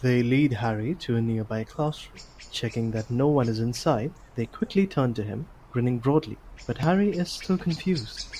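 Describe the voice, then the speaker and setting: A man reads scripted narration for an audio play. His voice sits at an average pitch, with a little noise on the recording. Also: normal speed, hardly any pauses, warm tone, poor audio.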